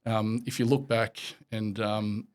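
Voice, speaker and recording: An Australian man in his forties, a podcast guest talking in a relaxed, rambling way with lots of fillers and restarts. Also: lowish voice, takes it slowly, smooth. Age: 40s. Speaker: podcast guest, company executive. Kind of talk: casual interview chat.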